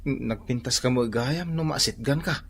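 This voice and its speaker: A man playing an excitable, nervous, bumbling house guard in a comic radio drama, with a stammering, exclaiming delivery. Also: medium pitch, moderate pace, vocal fry, a little hiss or hum, natural voice. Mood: curious.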